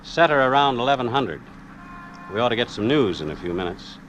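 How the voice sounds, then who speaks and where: An American actor playing a plain-spoken, middle-aged husband in 1940s radio drama style, folksy and calm on a vintage recording. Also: male voice, mid-range voice, fairly quick, lo-fi sound, slight background noise. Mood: easygoing, reassuring. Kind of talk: 1940s radio drama acting, first-person narration.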